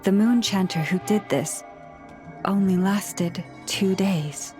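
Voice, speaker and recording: Game casting: an actress as gentle young priestess, solemn and earnest, medium-pitched, soft measured delivery. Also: female voice, soft background music, close to an everyday voice. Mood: grave. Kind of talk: video game voice acting.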